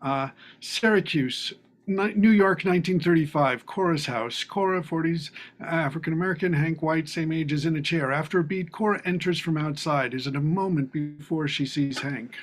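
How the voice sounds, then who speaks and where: A man hosting a script reading, introducing scenes and reading stage directions in a halting, informal way. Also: fairly high pitch. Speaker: host of a play reading.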